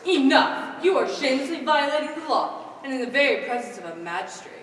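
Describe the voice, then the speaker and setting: A woman's voice: high voice, lively intonation, a little fast. A young woman on stage playing a stern, pompous magistrate who scolds and commands. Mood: stern, indignant. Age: teenager. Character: stern officious female magistrate enforcing a humor ban. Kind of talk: high school stage play acting. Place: stage in a large hall, recorded from afar. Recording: echoey hall, distant microphone, background noise.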